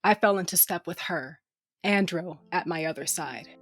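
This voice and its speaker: An actress playing a tough, wry spacefaring crew leader, narrating an audio drama in a brash, casual way. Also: female voice, on the low side, normal speed, fairly bright tone. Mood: gritty narration. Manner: brash, casual, take-charge.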